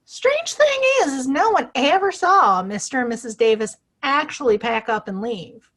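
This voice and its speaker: A woman's voice: high-pitched, big pitch swings, moderate pace, a bit bright, heavily acted. A woman playing a feisty, outspoken small-town woman in a western audio play, with a folksy, plain-spoken manner. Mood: indignant.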